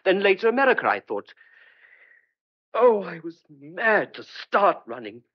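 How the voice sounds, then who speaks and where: A man's voice: high voice, big pitch swings, a little slow, full character voice. An actor playing a desperate, stubborn but tender man on the run, speaking earnestly and hesitantly in old-fashioned clipped phrases in a radio thriller. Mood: desperate, defiant. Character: desperate fugitive man wrongly suspected of murder, stubborn, tender. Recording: dull lo-fi old radio drama recording.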